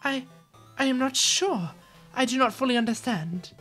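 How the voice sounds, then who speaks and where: A woman's voice: high-pitched, lively intonation, normal speed, fairly bright tone, soft background music, pitched above the performer's own voice. A woman voicing a refined young princess in a video game, speaking formally. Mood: explaining.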